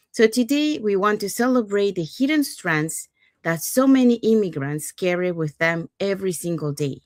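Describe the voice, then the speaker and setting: A woman's voice: on the low side, no room sound, studio quality. A woman from Panama hosting a livestream panel talk, leading the conversation in an upbeat way with fillers. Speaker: host.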